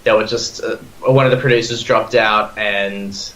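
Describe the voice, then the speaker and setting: A man's voice: on the low side, steady tone, normal speed, fairly bright tone, roomy sound, a little hiss or hum, poor audio. A young man in his twenties with an Australian accent, talking as a podcast guest in a rambling, eager way full of fillers and restarts. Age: 20s. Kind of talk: casual chat.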